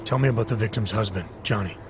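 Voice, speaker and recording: An actor playing a terse, skeptical detective in a video game, probing in a clipped, dry, challenging manner. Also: male voice, fairly low pitch, fast talker, close to an everyday voice. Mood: businesslike. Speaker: Interpol investigator. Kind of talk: video game cutscene dialogue. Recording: background music under dialogue.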